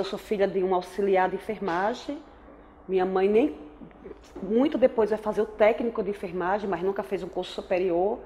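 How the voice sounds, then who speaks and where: A woman's voice: medium pitch, mellow. A woman in her forties from Aracaju with a Sergipe accent, an anthropologist telling her life story in a thoughtful, reflective way and often adding tag questions. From Aracaju, Sergipe, Brazil. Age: 40s. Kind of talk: reflective personal testimony.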